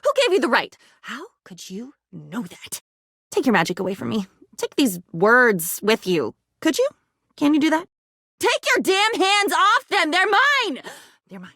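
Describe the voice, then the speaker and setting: A woman's voice: high voice, huge pitch swings, unhurried, pushed and bright, no echo, studio quality, full character voice. An actress playing an unhinged, dreamy woman with a volatile temper who rambles disjointedly in a video game. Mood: indignant, desperate. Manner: disjointed rambling, swinging between wistful and agitated. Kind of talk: video game character voice acting.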